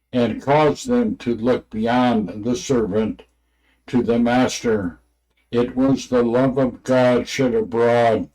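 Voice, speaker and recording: A man reading an old religious text aloud in a halting way, stumbling over words and names. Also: mid-range voice, mellow, poor audio.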